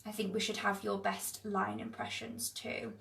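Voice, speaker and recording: A woman storyteller speaking to children in an animated, expressive way with dramatic pauses, recorded on a livestream. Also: mid-range voice, gravelly, shouting, distant mic, very noisy. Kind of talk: expressive picture-book reading and chat to young viewers. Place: livestream on several screens.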